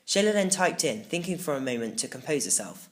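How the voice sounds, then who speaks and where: A man reading a children's audiobook in the first person, with expressive, emphatic scripted storytelling. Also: fairly high pitch, a little fast. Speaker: audiobook narrator.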